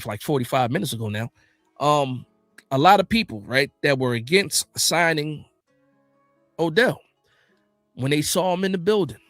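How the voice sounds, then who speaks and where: An American man hosting a casual football livestream, reading out viewer comments and reacting in slangy, animated, informal talk. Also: a little high, dry recording. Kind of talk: casual fan chat about football.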